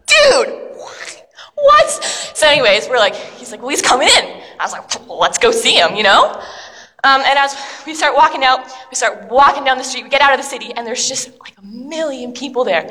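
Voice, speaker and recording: A woman performing a dramatic monologue as an excitable, self-doubting young city dweller, telling the story breathlessly with slangy fillers. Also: a little high. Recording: long reverb of a large hall.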